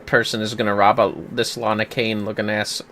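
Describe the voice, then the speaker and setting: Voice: medium pitch, flat delivery, brisk, a bit bright, a little hiss or hum. A game livestreamer chatting casually while playing, with wry, sweary asides.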